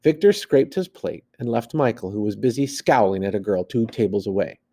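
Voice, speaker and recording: A man's voice: fairly low pitch, fairly quick. A man reading a story aloud like a teacher, expressively, acting out the dialogue and adding plain asides. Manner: animated, acts out the dialogue.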